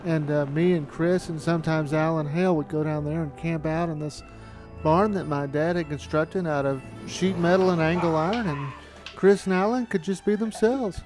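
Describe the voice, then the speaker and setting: A man with a slightly high voice narrates a documentary-style look back at his own amateur productions. He talks conversationally, like someone telling stories. Music plays clearly behind him. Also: fairly even intonation, moderate pace. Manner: conversational storytelling. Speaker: amateur filmmaker narrating a retrospective.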